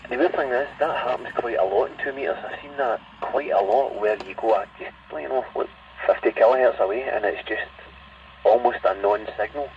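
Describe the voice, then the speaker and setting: A man's voice: mid-range voice, a little fast. A man with a Glasgow-area Scottish accent rambling conversationally over a CB radio, heard through the set's speaker. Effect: CB radio transmission. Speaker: CB radio operator. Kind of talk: casual radio chat. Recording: muffled, distant, noisy CB radio speaker in car.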